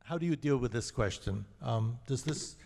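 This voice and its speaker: A man's voice: medium pitch, expressive, moderate pace, creaky, a little mellow. A man moderating a panel discussion, hesitant with many fillers, in a conference hall. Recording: echoey hall.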